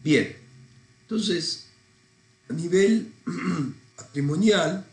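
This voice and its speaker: A man's voice: high-pitched, animated, extremely slow, vocal fry. A man with a congested voice from a cold, teaching an accounting lesson step by step in an informal, didactic way. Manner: didactic, informal, thinking aloud. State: congested with a cold, often clearing throat. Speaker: accounting lecturer. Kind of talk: recorded lesson, explaining step by step. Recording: echoey room sound.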